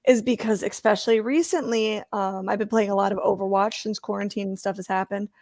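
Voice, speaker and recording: A woman hosting a casual podcast chat, talking in an animated, hyper way with a raspy voice. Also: mid-range voice, fairly quick, no echo, studio quality. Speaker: podcast host.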